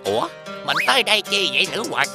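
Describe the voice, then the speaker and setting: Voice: medium pitch, lively intonation, fast talker, creaky. A performer voicing a fawning mantis henchman to a villain queen in a children's cartoon. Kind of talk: children's animated cartoon acting. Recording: loud music behind the voice.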